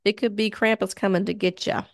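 A woman's voice: mid-range voice, fairly even intonation, brisk, no room sound, studio quality. Irreverent American woman telling spooky legends. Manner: irreverent, profane, joking. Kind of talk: casual storytelling with banter.

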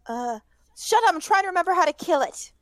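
Woman putting on a high, urgent schoolgirl witch. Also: measured pace, no echo, clean studio sound. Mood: urgent, bossy. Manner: urgent, exclaiming. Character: bossy, clever schoolgirl witch, urgent and anxious.

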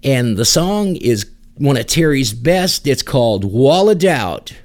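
A man's voice: medium pitch, sing-song, takes it slowly, darkish tone. A man hosting a music podcast, introducing songs in a casual, informal, off-the-cuff way. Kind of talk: casual music show commentary.

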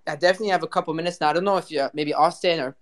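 A man's voice: a little high, steady tone, rapid-fire. A man hosting a live audio chat show, talking casually in slang and often repeating his words as he goes. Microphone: remote live audio call.

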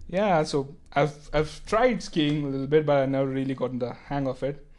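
A man's voice: fairly high pitch, moderate pace, darkish tone. A man with an Indian accent, a native Tamil speaker, chatting casually on a podcast. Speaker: podcast guest or co-host. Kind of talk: casual chat.

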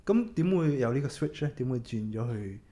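A man's voice: medium pitch, lively intonation, fairly quick, mellow. A man hosting a casual podcast, chatting in an easygoing way and mixing English words into his talk. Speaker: podcast host.

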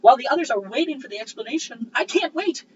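A woman playing a proud, quick-tempered lady in a cold read of a comic play, theatrical and self-satisfied. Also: sits high, expressive. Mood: haughty. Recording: dull recording with faint background noise.

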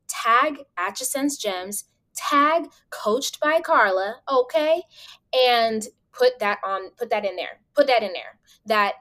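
A woman hosting a podcast, chatting casually and hyping up her listeners. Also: on the high side. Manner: energetic, hyped, talks straight to listeners. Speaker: podcast host.

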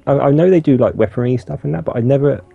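Croaky dark-voiced man, dull recording. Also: medium pitch, fast talker. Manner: hesitant, repeats words. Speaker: player. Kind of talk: tabletop game play.

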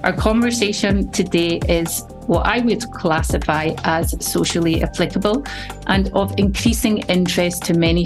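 Woman, lower pitch, podcast host, formal winding questions, faint music under. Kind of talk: podcast interview.

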